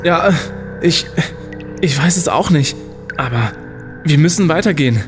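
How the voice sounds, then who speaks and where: A man's voice: a little high, expressive, unhurried, over music. An actor playing a bossy, undaunted young male student leading adventurers in an audio drama, with commanding bravado. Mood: determined.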